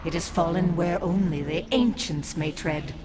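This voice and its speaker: A woman's voice: on the low side, moderate pace, loud music behind the voice, natural voice. A woman voicing a scheming witch who leads a coven, cold and taunting, from a video game.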